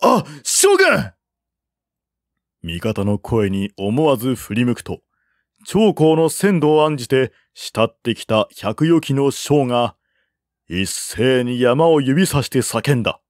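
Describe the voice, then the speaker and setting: A man's voice: medium pitch, animated, unhurried, darkish tone, dry recording, studio quality. A man narrating a historical war novel as an audiobook, in a dramatic literary style.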